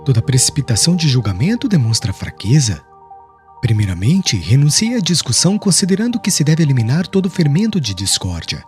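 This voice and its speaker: A man's voice: medium pitch, big pitch swings, brisk, a little mellow, soft background music, natural voice. Man reading as a solemn preacher, sweeping intonation. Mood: firm, serene. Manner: eloquent, declamatory, formal. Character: serene, devout young male preacher, solemn and firm. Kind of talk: solemn audiobook oration.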